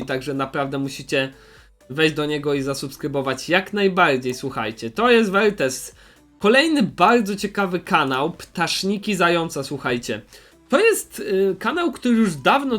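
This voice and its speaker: A man's voice: sits high, a bit bright. A young man giving casual, unscripted recommendations in a rambling, hesitant, repetitive way, like a YouTuber talking to viewers. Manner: rambling, hesitant, repeats himself.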